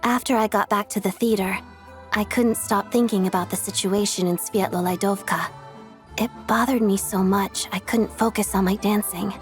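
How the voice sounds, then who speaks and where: A poised young female officer from a video game, played by a woman. She is principled and efficient, speaking politely with a composed, businesslike manner at a middle pitch, over soft music. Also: steady tone, normal speed, natural voice. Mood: earnest, troubled. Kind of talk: English game dub dialogue.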